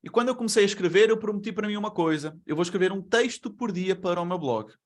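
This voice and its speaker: Man, European Portuguese accent, fairly high voice, webinar speaker, chatty and full of rhetorical questions, on a video call mic. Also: lively intonation, fast. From Brazil. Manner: conversational, asks rhetorical questions. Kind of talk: presentation to an online audience.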